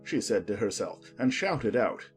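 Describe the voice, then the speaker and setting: A male audiobook narrator reads a story in a medium-pitched voice. His intonation moves freely and expressively. Faint music plays behind him. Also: sing-song, a little slow.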